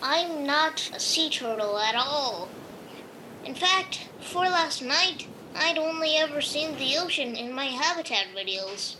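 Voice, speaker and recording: A naive little boy creature, played by a woman, high-voiced and slow to get words out. He sounds hesitant and innocent, like a sheltered animal in an audio play. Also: lively intonation, noisy background. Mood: timid, earnest. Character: Todd, naive young male escaped lab turtle creature. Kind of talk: audio drama acting.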